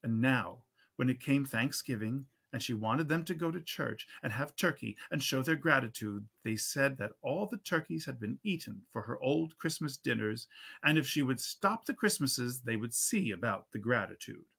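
Guy in his 50s reading an old holiday story out loud, kinda theatrical, creaky voice. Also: medium pitch, expressive, normal speed. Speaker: reader at a literary reading series. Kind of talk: expressive storybook reading of a 19th century holiday tale. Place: indoors at a computer, live stream.

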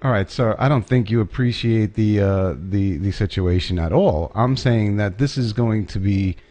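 A man's voice: lowish voice, mellow. A middle-aged man speaking in a stern, confrontational, officious way, like a reporter questioning someone on a prank call, into a studio mic. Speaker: prank caller posing as a TV reporter. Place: small home studio room. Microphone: studio microphone on a boom arm, headphones.

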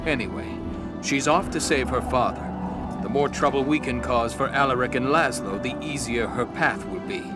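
An actor playing an earnest, determined and loyal human knight in a video game cutscene. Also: male voice, medium pitch, lightly acted. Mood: indignant. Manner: earnest, dramatic, deliberate. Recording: loud music behind the voice. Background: loud game music.

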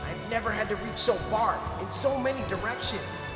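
A man's voice: sits high, normal speed, natural voice. A man voicing an earnest, straining young hedgehog hero in a comic dub. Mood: strained, determined. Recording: echoey, long reverb. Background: loud music.

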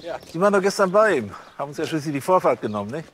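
A man playing an officious, blustering and slightly slow-witted police officer in a radio play. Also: a little high, big pitch swings, a little hiss or hum.

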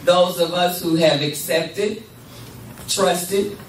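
An older woman giving a measured, deliberate address at a church lectern, holding a handheld microphone. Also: fairly low pitch, steady tone, slight background noise. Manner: measured, deliberate, with congregation responses. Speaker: church speaker. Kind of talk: spoken address at a church service. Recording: echoey room, camera far off.

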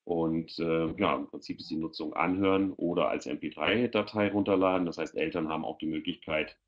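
A man's voice: deep voice, fairly even intonation, brisk. A middle-aged man explaining in an informal, somewhat hesitant way during an online presentation over a headset. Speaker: panel guest presenting a children's audio portal. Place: indoor room, video call. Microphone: headset on a video call. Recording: dull lo-fi video-call audio.

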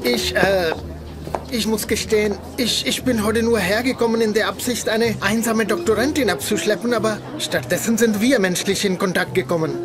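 A man playing a shy, sweet, self-deprecating young romantic with a high voice in German-dubbed sitcom dialogue. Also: moderate pace, over music, close to an everyday voice. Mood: awkward, sincere.

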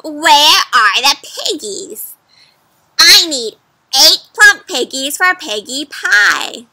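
Storybook witch: a woman voicing a greedy, short-tempered crone who yells shrill, high demands in a sharp, bright tone. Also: sing-song, slow, no room sound, full character voice. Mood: angry shouting. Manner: shouting, shrill, bossy demands.

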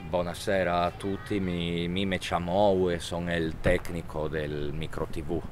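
A man, the show's technician, speaking in a with a halting, mock-poetic delivery outdoors in a village square. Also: deep voice, level tone, soft background music. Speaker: show technician.

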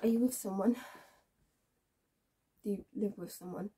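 A woman acting a dramatic monologue as a bitter, wounded young woman, halting and repeating her phrases, with a breathy, husky voice. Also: mid-range voice, fairly even intonation. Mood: wounded, probing. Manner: halting, repeats phrases, swings between hushed and intense.

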